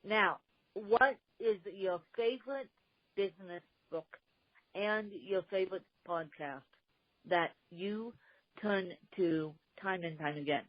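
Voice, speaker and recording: A woman hosts a podcast and speaks very slowly and deliberately, with a slight speech impediment. Her voice is mid-pitched and dry, and the audio is slightly muffled. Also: no echo. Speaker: podcast host. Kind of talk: podcast interview.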